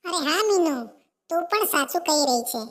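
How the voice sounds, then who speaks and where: A woman voicing a chirpy, very high-pitched little sparrow. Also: lively intonation, a little fast, a bit bright. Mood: admiring. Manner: gushing, repetitive exclamations. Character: cheerful, eager, chatty female sparrow visiting her sister. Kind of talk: Gujarati animated moral story dialogue.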